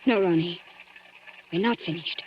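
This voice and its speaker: An actress playing an anxious, quick-thinking female accomplice in an old radio thriller, urgent, pleading and dramatic. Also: lowish voice, lightly acted. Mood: urgent, scheming. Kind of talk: 1940s radio thriller acting. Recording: dull, noisy old radio recording.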